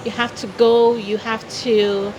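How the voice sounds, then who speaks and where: A woman from Jamaica telling personal stories as an interview guest, in a deliberate, expressive way. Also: a little high, slight background noise, low-quality recording. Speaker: interview guest, founder of a philanthropy organization.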